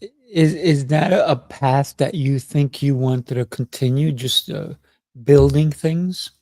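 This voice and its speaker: A man's voice: medium pitch, measured pace, no echo, clean studio sound. A middle-aged man hosting a podcast interview, chatty and encouraging, with lots of affirming interjections. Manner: warm, encouraging, with frequent affirmations. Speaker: podcast host. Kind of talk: conversational interview.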